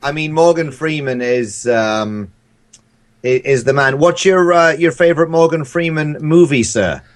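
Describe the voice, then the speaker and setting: A man's voice: a little high, measured pace. A man chatting as a podcast guest, trading casual, joking banter. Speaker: podcast guest, audio drama creator.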